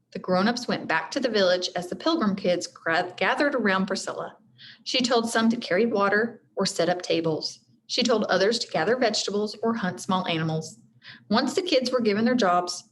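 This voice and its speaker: Woman reading a storybook, noisy recording. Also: mid-range voice. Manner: expressive, addresses listeners directly, stumbles over hard names. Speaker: teacher reading aloud to children. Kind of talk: read-aloud storybook narration with friendly asides.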